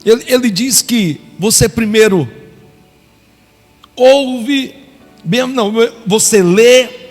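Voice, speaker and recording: A middle-aged man from Brazil, preaching a live sermon with fervent exclamations of praise. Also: high voice. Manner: impassioned, exclaims aleluia often. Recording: reverberant hall with faint music.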